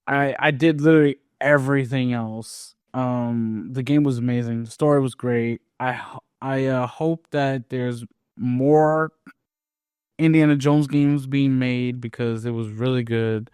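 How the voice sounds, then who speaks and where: A man's voice: medium pitch, fairly even intonation, measured pace, darkish tone, smooth, dry recording, clean studio sound. A man co-hosting a podcast, talking in an easygoing, hesitant way with many fillers and restarts. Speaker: podcast co-host. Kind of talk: casual chat.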